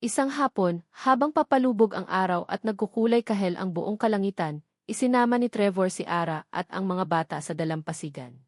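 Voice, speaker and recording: A woman narrating a scripted romantic drama in a measured, sentimental storytelling manner. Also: medium pitch, lively intonation, dry recording, clean studio sound.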